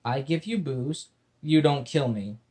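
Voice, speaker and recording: A man's voice: medium pitch, expressive, measured pace, warm tone, lightly acted. A man voicing a weary young man bargaining with a captor in a dry, matter-of-fact way.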